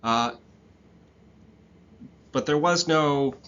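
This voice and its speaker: Man in his 30s telling a class a story, bright voice, kinda muffled recording, lots of ums. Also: on the high side, drawn-out words, clean tone. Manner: relaxed, reflective storytelling with frequent fillers. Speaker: instructor. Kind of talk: storytelling lecture.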